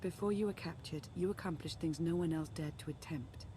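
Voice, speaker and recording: An actress playing a composed, earnest female Sith strategist and loyal ally in a video game, speaking in a measured, formal, articulate way. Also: low voice, noisy background, close to an everyday voice. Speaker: advisor and ally. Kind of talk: scripted game dialogue, briefing.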